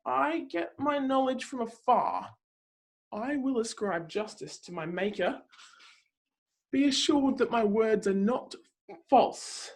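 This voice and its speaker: A woman playing a zealous counselor who proclaims God's might in a declamatory, dramatic scripture reading with deliberate pauses. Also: a little high, expressive, darkish tone. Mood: earnest, assured.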